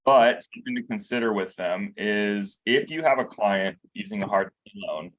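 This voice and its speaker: Lower-voiced man giving a work briefing. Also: moderate pace, a bit bright, poor audio. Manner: conversational, explains with examples. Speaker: bank loan officer.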